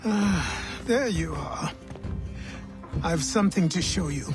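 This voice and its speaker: An actor playing a weary, guarded old mentor in a video game, with a measured, understated, authoritative delivery. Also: male voice, a little high, animated. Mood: weary, instructive. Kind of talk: video game cutscene acting. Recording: faint music behind the voice. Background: music.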